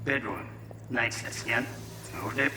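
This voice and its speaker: A man's voice: medium pitch, measured pace, echoey room, big-room sound, background noise, close to an everyday voice. A man playing a cold, imperious corporate heir in a cyberpunk game, speaking in terse, formal commands. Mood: commanding. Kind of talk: dramatic video game acting.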